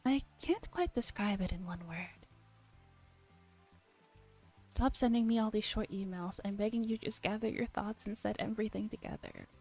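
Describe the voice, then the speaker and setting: Female game streamer, relaxed mellow tone, playful dialogue read-aloud for ASMR, faint music behind. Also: mid-range voice, normal speed, pauses often. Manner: playful, acts out dialogue while reading. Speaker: streamer reading a visual novel aloud.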